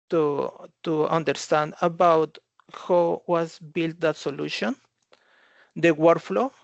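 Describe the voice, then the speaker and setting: Halting male tech presenter, gravelly voice. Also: a little high, dry recording, highs cut off. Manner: halting, repeats and rephrases phrases. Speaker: consultant presenting at a conference. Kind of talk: slide presentation talk.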